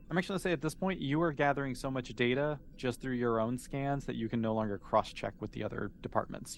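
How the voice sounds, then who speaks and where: A man's voice: medium pitch, vocal fry. A man with a mid-range voice runs a tabletop roleplaying session. He narrates conversationally and with amusement, making it up as he goes. Some noise sits behind his voice. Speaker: game master. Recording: noticeable background noise.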